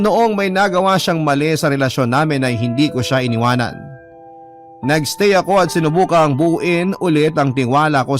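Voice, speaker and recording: Higher-pitched Filipino man narrating sorrowfully. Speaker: radio drama letter reader. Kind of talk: first-person dramatic narration of a love story. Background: background music.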